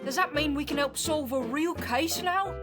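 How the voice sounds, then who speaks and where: A woman's voice: high-pitched, normal speed, music in the background, lightly acted. A woman playing a plucky, cheeky young Victorian street kid in an audio drama. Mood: keen.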